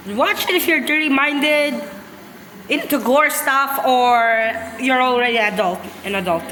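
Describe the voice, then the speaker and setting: A woman's voice: high-pitched, unhurried, bright, forceful tone. A woman giving casual, blunt and sweary reaction commentary as a video host, dismissive in manner. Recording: echoey room with reverb, faint noise.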